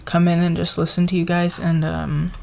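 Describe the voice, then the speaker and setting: A woman playing a friendly, encouraging boss in an ASMR roleplay, talking to a team in a halting, soft-spoken office manner. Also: fairly low pitch, completely flat delivery, vocal fry. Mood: appreciative, encouraging.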